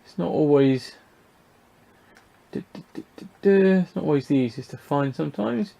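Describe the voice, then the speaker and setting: A man's voice: fairly high pitch, moderate pace, vocal fry, dark tone. A British man giving a casual, unscripted hobby review, talking through what he shows in a hesitant, rambling way, thinking aloud.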